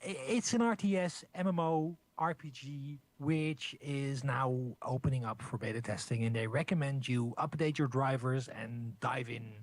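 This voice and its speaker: A man with a Dutch accent co-hosting a casual gaming news podcast, halting and playful, with many restarts and fillers. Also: medium pitch, no echo. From Netherlands. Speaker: podcast co-host.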